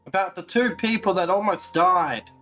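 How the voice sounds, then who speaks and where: Casting: casual, mid-pitched game streamer with a blunt, sarcastic, swearing delivery over light music. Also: normal speed, fairly bright tone. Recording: faint game music behind.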